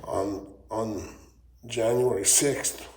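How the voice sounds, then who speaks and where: A man's voice: on the low side, steady tone, very slow, darkish tone. Weak-voiced New man in echoey workshop. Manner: rambling, frequent ums and swearing. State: weak voice from recovering laryngitis. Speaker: channel host. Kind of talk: casual political rant to camera. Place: wood shop. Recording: echoey workshop with faint noise.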